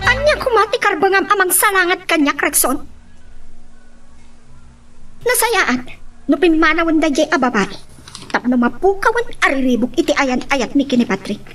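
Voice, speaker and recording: A woman acts out a spoiled, jealous young woman in a radio-style love drama. Her very high voice has an Ilocano accent, and her manner is demanding and hot-tempered. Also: lively intonation, normal speed, pauses often, a bit bright, faint music behind. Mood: scornful.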